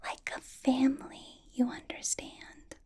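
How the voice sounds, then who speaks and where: A woman playing a possessive, sweetly menacing female vampire boss in a soft, whispered ASMR roleplay. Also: lightly acted. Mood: coolly menacing. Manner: slow, coaxing, condescending endearments.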